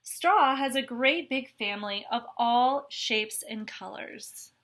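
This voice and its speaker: A woman's voice: fairly high pitch, slow, fairly bright tone. A woman reading a picture book aloud to children, adding chatty, explanatory asides as a teacher would.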